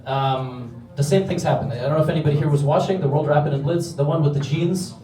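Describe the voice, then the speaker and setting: A man's voice: fairly high pitch, fast, very noisy. A man hosting a live show, chatting casually with fillers and restarts. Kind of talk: casual stage chat. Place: event hall with live audience. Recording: roomy hall from far off.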